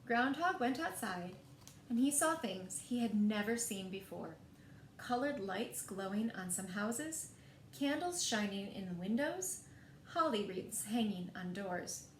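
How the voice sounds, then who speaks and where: A woman reading picture books aloud as a storyteller, in an expressive, deliberate way meant for young children. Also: fairly high pitch. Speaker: library storyteller. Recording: echoey room, faint background noise.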